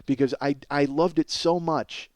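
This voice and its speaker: A middle-aged man hosting a comedy podcast, telling stories in a casual, profane, self-deprecating ramble and exaggerating excitably for comic effect. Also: on the high side, a little slow, no room sound. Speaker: podcast host, comedic storyteller.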